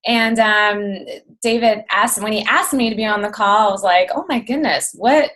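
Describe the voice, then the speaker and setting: A woman in her late thirties, losing her voice, speaking as a chatty, excitable guest on a team video call. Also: mid-range voice, normal speed. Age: 30s. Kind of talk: casual talk on a video call.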